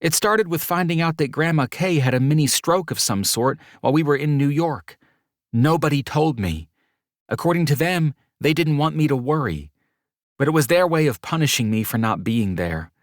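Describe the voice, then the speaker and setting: A man voicing a young woman who is emotional but playful, in a soft, breathy feminine voice. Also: a little high, lively intonation, normal speed, no echo, clean studio sound, voice pushed up, natural voice. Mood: upset.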